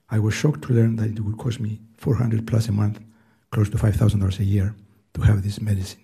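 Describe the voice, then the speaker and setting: An eighty-year-old man with a Greek accent, earnestly reading prepared testimony at a hearing in a soft, weak voice, halting and restarting now and then. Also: fairly low pitch, slightly rough. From Greece. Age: 80s. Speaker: hearing witness. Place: hearing room.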